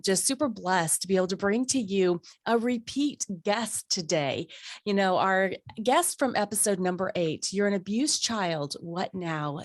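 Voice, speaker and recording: Woman host, mid pitch, mild cold rasp, upbeat interviewer, dry booth. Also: dry recording. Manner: effusive, upbeat and affirming. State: raspy voice from a cold. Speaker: podcast host. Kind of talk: warm conversational interview.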